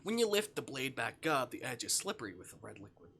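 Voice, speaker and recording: A man's voice: mid-range voice, very animated, fast talker, creaky, fairly bright tone, slight background noise. A man live streaming, reading a visual novel aloud and breaking off for informal, jokey asides. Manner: informal, jokey, swearing, reacting to the story.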